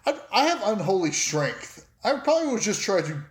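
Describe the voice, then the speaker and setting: A woman's voice: medium pitch, sing-song, moderate pace. A woman playing a tabletop game, chatting casually about what her character will attempt, with a roomy sound.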